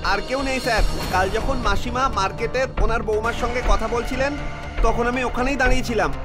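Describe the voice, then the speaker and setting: A man's voice: extremely high pitch, fairly even intonation, fairly quick. An actor playing a greedy, cowardly male kidnapper with a cringing manner in a dramatized crime story. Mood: frightened, pleading. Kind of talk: dubbed animated crime story dialogue. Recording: music behind the voice.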